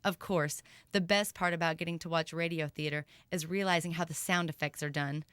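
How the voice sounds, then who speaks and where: Female arts presenter, lowish pitch, scripted narration, prominent music bed. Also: fairly even intonation, brisk, a bit bright, smooth, no room sound.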